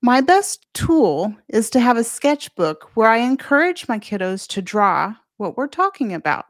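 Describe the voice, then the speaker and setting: A woman's voice: fairly high pitch, big pitch swings, measured pace, no room sound, clean studio sound. A woman presenting a scripted solo podcast as its host, speaking directly and encouragingly to parents.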